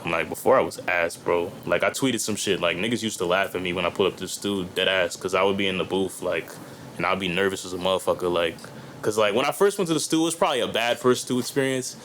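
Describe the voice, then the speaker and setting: A man's voice: low voice, quick pace, a bit bright, slight background noise. A young man, a guest rapper on a podcast, talking in a loose, rambling way full of slang and fillers. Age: young adult. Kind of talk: casual chat.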